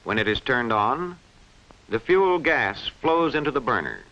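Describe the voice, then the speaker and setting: Male narrator, for a school science film: forceful, lively intonation, precise and instructive, on a dull old soundtrack. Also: medium pitch, a little slow. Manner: clearly enunciated, didactic delivery. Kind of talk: scripted educational film narration. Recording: dull, band-limited vintage film soundtrack.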